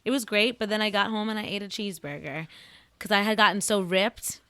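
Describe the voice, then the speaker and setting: A woman's voice: medium pitch, fairly even intonation, a little fast, sharp tone, smooth, dry recording. A woman in her twenties, a podcast host being interviewed, talking in a chatty, candid way and laughing often. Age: 20s. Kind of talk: casual chat.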